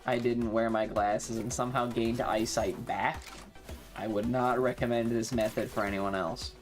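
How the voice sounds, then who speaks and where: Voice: mid-range voice, steady tone, normal speed, soft background music. A streamer with an androgynous-sounding voice, chatting casually during a live game in a slangy, sweary, self-mocking way.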